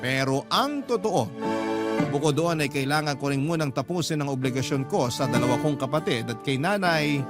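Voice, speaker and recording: A man's voice: a little high, animated, normal speed, close to an everyday voice. A man with a Filipino accent reading a breadwinner's confessional letter as scripted first-person radio storytelling. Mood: earnest, confessional. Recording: prominent music under the voice.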